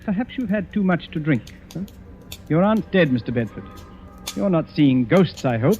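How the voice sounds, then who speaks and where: A man's voice: fairly high pitch, animated, moderate pace, a little mellow. An actor playing a composed, courteous titled host who slyly needles his guest, in old-time radio drama style. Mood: cool, feigned concern. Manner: courteous, composed, commanding with servants. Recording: dull vintage radio recording.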